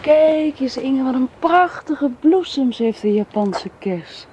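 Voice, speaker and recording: An actress playing an affectionate young governess talking soothingly to a small child in a radio drama. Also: female voice, high voice, animated, slow pace, noisy background. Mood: affectionate, playful.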